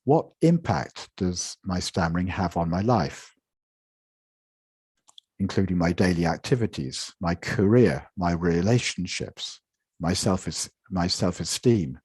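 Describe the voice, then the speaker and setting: A man with a very low, husky voice delivers a measured conference lecture from his slides. He often repeats and restarts words as he talks. The sound comes dry and close over a video call. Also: animated, darkish tone, no echo. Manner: measured, with frequent repetitions and restarts. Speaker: conference presenter.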